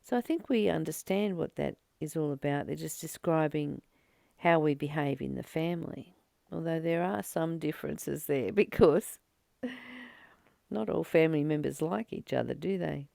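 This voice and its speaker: A woman reading a spiritual study text aloud and explaining it informally, in a halting, reflective way with pauses between short phrases. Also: sits low, vocal fry, warm tone, no room sound. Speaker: spiritual study reader and commentator. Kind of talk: slow read-aloud study with informal commentary.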